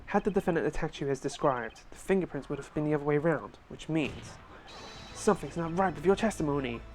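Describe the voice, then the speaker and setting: A man's voice: on the high side, expressive, a little fast, soft background music, close to an everyday voice. A man voicing a confident young male defense lawyer in a fan dub of a courtroom game, building his case in a methodical, pointed way.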